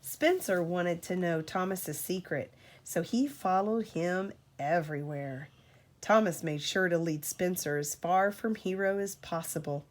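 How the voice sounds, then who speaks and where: A woman reading a storybook aloud to a child as a parent, in an expressive, deliberate storytelling manner. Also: lowish voice.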